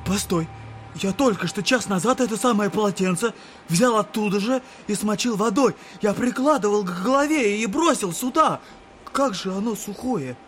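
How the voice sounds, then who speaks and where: A tormented young man in an audio drama, exclaiming and sneering in a feverish state. His voice is extremely high for a man and forcefully sharp. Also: expressive, normal speed, faint music behind. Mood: confused, uneasy. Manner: agitated, exclamatory, derisive.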